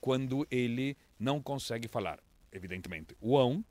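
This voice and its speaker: A Brazilian man, an international correspondent, who speaks with a mixed accent and tells stories with lively swings in pitch. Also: moderate pace. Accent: mixed Brazilian Portuguese. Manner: animated storyteller, lively pitch jumps. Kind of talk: casual interview chat.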